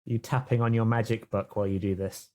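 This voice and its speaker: A man's voice: fairly low pitch, steady tone, quick pace, warm tone, slight background noise. A man with a British accent chatting casually as a player in a tabletop game.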